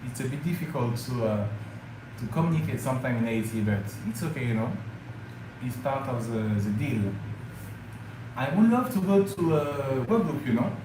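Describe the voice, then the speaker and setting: A man with a French accent, French being his first language, chats with his viewers during a travel vlog livestream. He speaks at a middling pitch, with many hesitations and fillers. The sound is strongly echoey, with clear background noise. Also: lively intonation, moderate pace. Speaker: travel vlogger. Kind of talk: casual livestream chat with viewers. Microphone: phone or camera mic, live stream.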